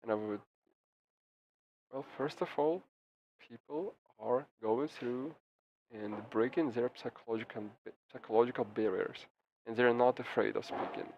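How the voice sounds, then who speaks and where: Male simultaneous interpreter, mid pitch, stop-start delivery, slightly dull sound, faint hiss. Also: creaky. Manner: halting, frequent restarts and fillers. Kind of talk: live interpretation of a press briefing. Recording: slightly muffled with faint background noise.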